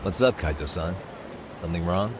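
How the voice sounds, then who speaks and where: An actor playing a cool, level-headed male private detective in a video game, measured and dry. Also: low voice, expressive, noisy background. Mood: calm, dry. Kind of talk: English video game voice acting.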